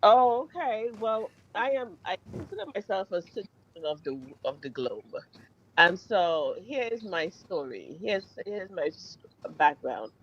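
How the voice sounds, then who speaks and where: A woman's voice: medium pitch, sing-song, a little slow, a bit bright, lo-fi sound, slight background noise. A Caribbean-born woman, a doctor, speaking unhurriedly as a guest on a radio interview. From Caribbean, born in St Vincent, raised in Trinidad.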